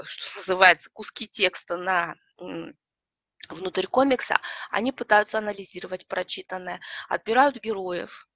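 A middle-aged woman presents an online lesson in a hoarse, creaky voice thick with a cold. She explains things step by step, with hesitant pauses as she picks her words. The sound is dry and close, with little room in it. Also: mid-range voice, a bit bright, no echo. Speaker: webinar presenter, librarian and teacher. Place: small room with bookshelves, indoors.

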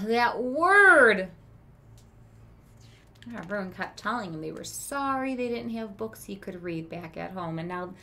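A woman in her thirties reading a picture book aloud to children in a deliberate, emphatic storytelling manner, pausing to explain things as a teacher would. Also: medium pitch, bright, forceful tone. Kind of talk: expressive children's read-aloud with explanatory asides. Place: small room with children's book shelves.

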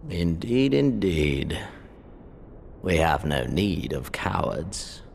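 An actor playing a cold, arrogant young imperial prince in a video game cutscene, speaking in a languid, mocking and menacing way. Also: male voice, sits low, very animated, takes it slowly, vocal fry, noisy background, exaggerated voice. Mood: languid, contemptuous.

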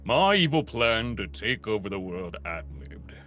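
Excitable British man, brightly animated. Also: on the low side, unhurried. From Britain. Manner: excitable, giggly. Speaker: host of an online word game. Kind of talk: casual playful banter and comic reading aloud. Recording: light music bed behind voice. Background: light music.

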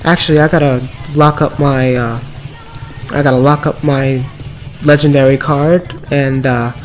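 A man with a slightly raspy voice from a cold, giving upbeat, rambling commentary over mobile card game play. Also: mid-range voice, little pitch movement, unhurried. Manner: upbeat, filler-heavy running commentary. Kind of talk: casual gameplay commentary. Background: background music.

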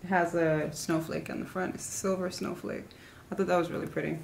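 A woman's voice: fairly low pitch, steady tone, a little fast, gravelly, slight background noise. A young adult woman chatting casually to camera as a vlogger, informal and rambling, often trailing off mid-thought. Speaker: vlogger showing a shopping haul. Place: living room at home, indoors.

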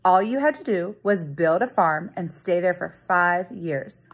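A woman in her thirties, a teacher, reading a children's story aloud in a dramatic, expressive storytelling manner with deliberate emphasis. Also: on the low side, husky. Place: living room at home. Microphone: phone or tablet at arm's length.

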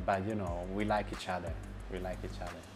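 A man's voice: sits low, level tone, fairly quick. A man in his forties, a chef and restaurant owner, answering interview questions in a hesitant way, with many fillers, restarts and grammar. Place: bright indoor room with light wall. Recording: music bed under voice. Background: background music.

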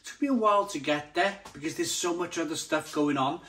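A man's voice: fairly high pitch, fairly quick, a bit bright. A man hosting a review channel, talking casually and unscripted to camera, savouring and repeating his descriptive words with enthusiasm. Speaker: beer review channel host.

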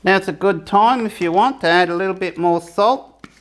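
A man's voice: sits high, normal speed, a bit bright, a little hiss or hum. A man giving a casual, rambling cooking demonstration for his camping review channel, often restarting his sentences. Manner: chatty, rambling, self-correcting.

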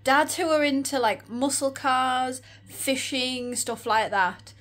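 A tired Australian woman in her forties talks straight to the camera, her voice croaky and a touch high. She rambles cheerfully from topic to topic, with plenty of little asides. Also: slow, a bit bright. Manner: chatty, rambling, giggly. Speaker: vlogger. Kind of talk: casual shopping vlog chat. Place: room with neon lights, indoors.

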